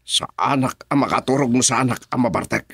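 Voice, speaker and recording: A man's voice: medium pitch, brisk, fairly bright tone, slightly rough. An actor with a Filipino accent playing an angry, demanding crime syndicate boss in a radio drama, scolding his men.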